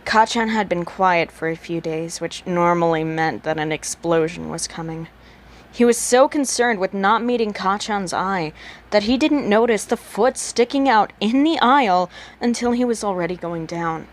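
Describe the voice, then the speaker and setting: A woman's voice: mid-range voice, normal speed. A woman reading a story aloud as a narrator, voicing the character's thoughts expressively. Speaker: amateur audiobook narrator reading fan fiction.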